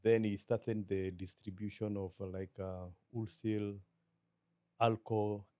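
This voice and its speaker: A middle-aged Kenyan man with a Kenyan accent, a business guest talking in a measured, reflective way and slipping into Swahili. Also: on the low side, level tone, warm tone, no echo. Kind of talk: relaxed interview talk.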